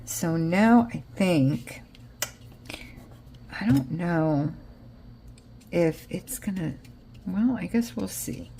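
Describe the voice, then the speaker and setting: A woman's voice: on the low side, very slow, gravelly, long pauses, dark tone, slight background noise. A woman with an American accent chatting casually as she narrates a craft project, rambling and thinking aloud, often trailing off. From Israel, raised in Connecticut, US. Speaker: craft vlogger.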